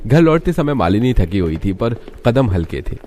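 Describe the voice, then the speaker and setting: A man's voice: mid-range voice, big pitch swings, moderate pace, warm tone, slight background noise. A man reading scripted storytelling narration for a cartoon.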